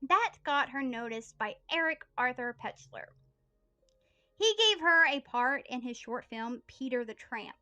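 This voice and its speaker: A woman tells a history story from a prepared script, earnest and steady. Her voice sits high and sounds bright and sharp. Quiet music plays faintly behind her. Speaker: history podcast host.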